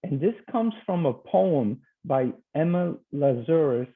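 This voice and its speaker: A man from Portugal teaches over a video call, friendly and a little hesitant, filling pauses with ums as he walks through his slides. His voice comes through a thin, tinny call line. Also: on the high side, lively intonation. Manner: friendly, hesitant, with many fillers.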